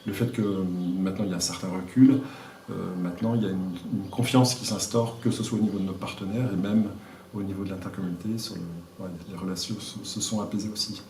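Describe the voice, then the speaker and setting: Low-voiced man speaking haltingly, echoey distant recording. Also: steady tone. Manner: hesitant, halting, searching for words. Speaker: village mayor being interviewed. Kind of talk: informal spoken interview answers. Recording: echoey room, distant mic, faint noise.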